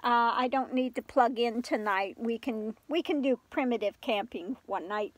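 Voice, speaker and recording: An older woman chatting casually into her phone inside a camper, stuffed up with a cold and clearing her throat often. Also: a little high, normal speed, no room sound, poor audio. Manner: rambling, frequent throat clearing and soft laughs. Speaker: vlogger. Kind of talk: casual chat to camera. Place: inside a small camper van at night. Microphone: phone camera at arm's length.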